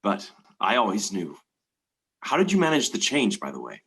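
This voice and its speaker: A man's voice: lowish voice, wildly swooping pitch, normal speed, fairly bright tone, exaggerated voice. A man acting a menacing, gloating mad lord in a stage play reading, with theatrical, archaic, taunting speech and music behind him.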